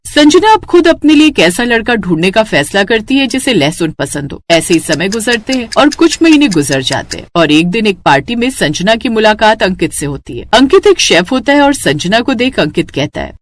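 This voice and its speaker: A woman narrator tells a scripted moral story. Her pitch moves about a lot, giving the telling an animated, expressive flow.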